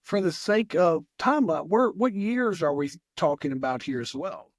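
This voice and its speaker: Male host, Southwest Virginia accent, higher voice, dry sound, loose rambling delivery. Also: animated, normal speed, dry recording. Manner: rambling, many fillers and restarts. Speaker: podcast host. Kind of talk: conversational interview.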